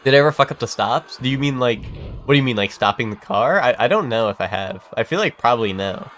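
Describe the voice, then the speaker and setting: A man's voice: mid-range voice, expressive, quick pace, fairly bright tone, slight background noise. A young man streaming a game and chatting casually, congested from a cold, rambling with lots of fillers and restarts and casual swearing. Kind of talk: casual chat while gaming.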